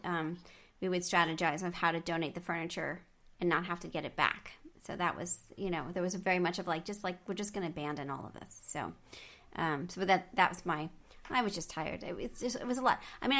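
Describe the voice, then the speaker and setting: A woman's voice: on the low side, fairly bright tone. Woman host, casual and rambling, lots of "yeah" backchannels. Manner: rambling, restarts often, frequent agreeing backchannels. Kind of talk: casual chat.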